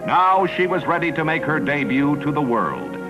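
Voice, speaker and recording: A man's voice: a little high, big pitch swings, moderate pace, lo-fi sound. An American man narrating a scripted 1950s promotional newsreel in a polished, declarative announcer style. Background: music bed.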